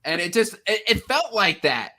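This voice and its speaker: A man's voice: sits high, very animated, normal speed, pushed and bright, a little hiss or hum. A man in a casual book discussion, speaking with exasperated emphasis and stammering, repeated restarts.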